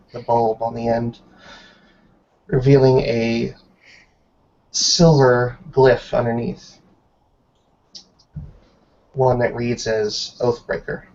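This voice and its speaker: A man runs a tabletop roleplay game as its narrator. He speaks slowly and deliberately with little pitch movement and long pauses. The sound is slightly dull, like an online call. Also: darkish tone. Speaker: game master. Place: online group video call.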